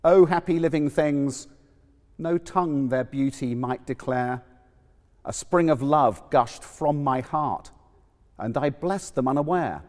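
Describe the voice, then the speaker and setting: A man giving a theatrical, measured poetry recitation with emphatic pauses. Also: medium pitch, darkish tone. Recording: long echoing hall reverb.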